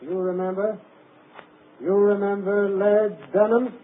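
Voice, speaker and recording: A man's voice: high-pitched, level tone, a little slow. An actor playing a desperate young man in a 1940s radio drama, urgent and intense. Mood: eerie hushed. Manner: urgent, later eerie hushed menace. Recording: tinny old radio broadcast with hiss.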